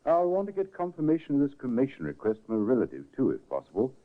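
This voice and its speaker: An actor in a 1950s radio drama playing a brisk, probing man who works as a registrar. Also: mid-range voice, huge pitch swings. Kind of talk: 1940s radio drama acting. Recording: tinny old radio broadcast.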